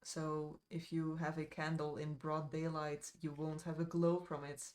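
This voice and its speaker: A Dutch-accented woman talks unhurriedly at a desk mic, her voice sitting low. She chats loosely with her stream viewers as she works, wandering off with fillers and little asides. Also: measured pace. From Netherlands. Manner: relaxed, rambling, with frequent fillers and asides. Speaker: miniature painting streamer. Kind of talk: casual live-stream chat while painting.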